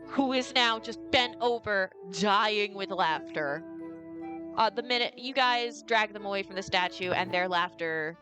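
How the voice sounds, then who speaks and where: A woman's voice: medium pitch, unhurried. A woman running a tabletop game as dungeon master, narrating scenes and questioning the players. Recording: background music.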